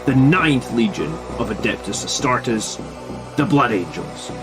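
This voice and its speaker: A man giving a slow, portentous scripted narration for a show intro. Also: mid-range voice, animated, over loud music.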